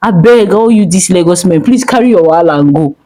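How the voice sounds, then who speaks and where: A gentle, loyal young wife, as a woman narrator puts her on. She gushes and plays along, her voice moving up and down freely. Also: on the low side, lively intonation, quick pace, natural voice. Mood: playful. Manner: gushing, playful.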